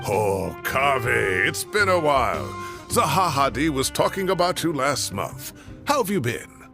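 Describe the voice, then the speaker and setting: An actor playing a kindly, fussy old professor in a video game, with a gravelly, aged, grandfatherly voice. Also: medium pitch, a little slow, faint music behind, full character voice. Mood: warm, surprised. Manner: grandfatherly, expressive, indignant at times.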